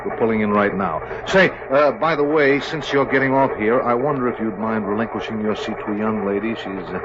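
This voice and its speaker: A man's voice: on the low side, a little fast, lightly acted. A weary train conductor, played by a man, polite on the surface but dripping with dry sarcasm. A muffled old radio recording with a noisy hiss behind him. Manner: dry sarcasm, long-suffering sighs. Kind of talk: 1950s radio comedy acting.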